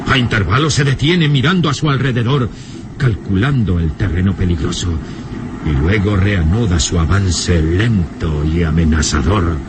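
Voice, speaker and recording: An ominous narrator from an old adventure radio serial. His low voice is emphatic and full of suspense. The recording is very noisy, with ambient effects behind him.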